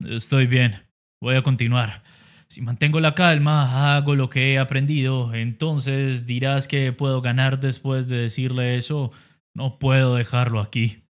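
A reader voicing a stubborn young male boxer, speaking low and steady. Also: lightly acted. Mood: resolute. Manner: low, slow, steady inner monologue.

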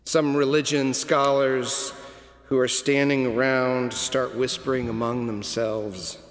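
A middle-aged man preaching a sermon from a pulpit, telling the story slowly and deliberately with dramatic pauses. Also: medium pitch, level tone, faint music behind. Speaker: cathedral dean preaching. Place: large stone cathedral, at a pulpit. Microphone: pulpit microphone. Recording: long cathedral reverb.

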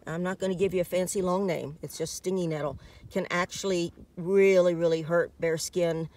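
A woman's voice: fairly low pitch, fairly even intonation, moderate pace, a little mellow. A middle-aged American woman giving an informal safety talk to camera outdoors, as a presenter from a fitness department. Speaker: fitness department staffer presenting a dog hiking safety video. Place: outdoors in open desert by trails and a dirt parking lot. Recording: far from the mic, faint outdoor noise.

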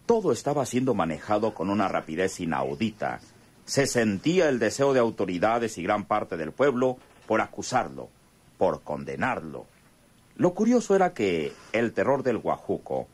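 A man's voice: on the low side, a little mellow, husky. A male narrator, reading a radio serial as a grave, suspenseful storyteller. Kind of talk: dramatic scripted radionovela narration.

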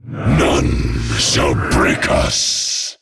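An actor playing a stern, devoted alien shadow warrior in a video game, gravelly and solemn, speaking in formal proclamations. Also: male voice, big pitch swings, drawn-out words, a crowd in the background, heavily acted. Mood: resolute, vigilant. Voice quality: gravelly, very deep. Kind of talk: video game unit voice lines. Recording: music under the voice.

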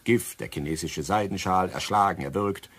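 Deep, husky older doctor-sleuth. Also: male voice, normal speed, fairly bright tone. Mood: smug, explanatory. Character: shrewd older doctor, amateur sleuth, self-satisfied. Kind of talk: German radio crime play acting.

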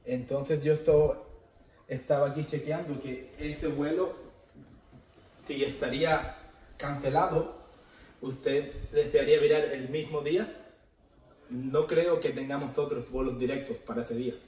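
A man's voice: medium pitch, steady tone, a little slow, long pauses, dark tone, recorded from a distance, natural voice. Prank-call airline agent, played by a man: formal, apologetic, hesitant, Galician, recorded roomy and off-mic. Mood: formal, polite. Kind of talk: improvised prank phone call. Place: living room, indoors.

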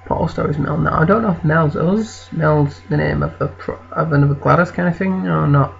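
A croaky, gravelly-voiced British man chats his way through a game in a casual, rambling style that corrects itself as it goes. His voice sits a little high, and the recording is dull, with a faint hiss behind it. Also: fairly quick. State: croaky voice from an infection. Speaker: let's play host. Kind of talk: casual gameplay commentary.

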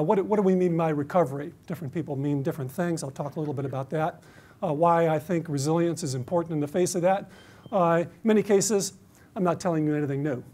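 Middle-aged American male academic, fairly high-pitched, hesitant conference speaker at a lectern. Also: warm tone. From Detroit, USA. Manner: hesitant, many "uh" fillers and restarts. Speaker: university researcher giving a conference talk. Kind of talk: conference presentation. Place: conference stage with dark curtains.

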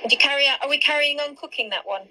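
A woman in her forties hosts a live cooking stream from her home kitchen, filmed on a phone held close. Her voice is bright and sits a little high, and she keeps up a steady flow of questions as she stirs and seasons. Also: very fast, poor audio. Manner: chatty, asks lots of questions while cooking. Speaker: host. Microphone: phone camera at arm's length.

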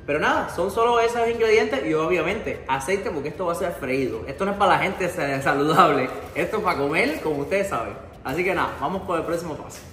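A man's voice: a little high, quick pace, hardly any pauses, bright, forceful tone, roomy sound. Chatty Cuban young man cooking at home. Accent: Cuban Spanish. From Cuba. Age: young adult. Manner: chatty, addresses viewers directly, mixes in English "so" and "ok". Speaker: cooking show host. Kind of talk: casual cooking demonstration. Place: home kitchen, indoors.

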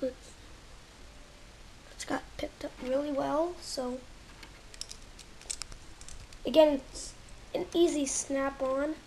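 High-voiced woman, slow halting toy review. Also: fairly even intonation, darkish tone, a little hiss or hum, low-quality recording. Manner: halting, searching for words, trailing off.

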